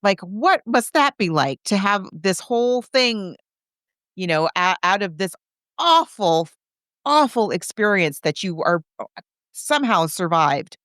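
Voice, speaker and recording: A woman's voice: mid-range voice, very animated, a little slow, fairly bright tone, dry recording, studio quality. A woman co-hosting a podcast, recapping a film in casual chat with a conversational storyteller's manner. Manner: conversational storytelling, acting out characters' reactions. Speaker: podcast co-host.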